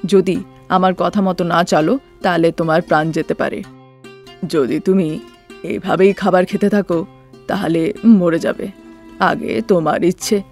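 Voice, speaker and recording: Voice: medium pitch, steady tone, unhurried, pauses often, faint music behind, natural voice. A voice actor playing a blunt male doctor who firmly lectures a family in a storybook cartoon. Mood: stern. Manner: firm, lecturing.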